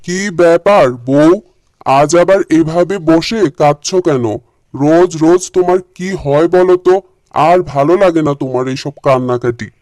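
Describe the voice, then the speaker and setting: A man's voice: on the high side, normal speed, darkish tone, no room sound, natural voice. A man voicing a weary, practical village fisherman husband in a cartoon. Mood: weary exasperation. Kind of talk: cartoon character dialogue.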